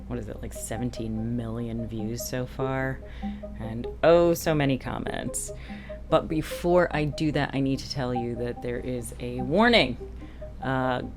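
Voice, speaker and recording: A middle-aged woman, a boat captain, chatting casually to camera in a wry, self-deprecating way. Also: very deep voice. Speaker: boat captain and online boating vlogger. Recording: music playing behind the talk. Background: music.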